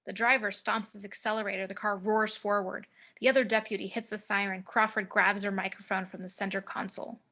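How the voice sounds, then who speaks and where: A woman's voice: mid-range voice, little pitch movement, fairly quick, hardly any pauses, sharp tone. A woman reading screenplay action as narrator, with dramatic, clipped phrasing, recorded over a home video call.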